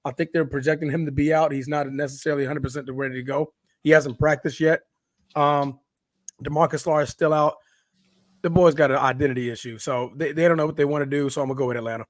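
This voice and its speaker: Hoarse man talking football picks. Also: a little high, quick pace, no room sound. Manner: relaxed, rambling with many fillers. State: raspy, hoarse voice. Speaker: podcast co-host. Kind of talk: casual sports chat.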